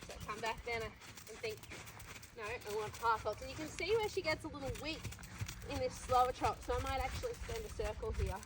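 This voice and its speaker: A woman's voice: high-pitched, expressive, a little fast, background noise. A woman with a congested voice, explaining her training to viewers while she rides. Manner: talking to viewers while riding. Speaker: riding instructor. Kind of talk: coaching while riding.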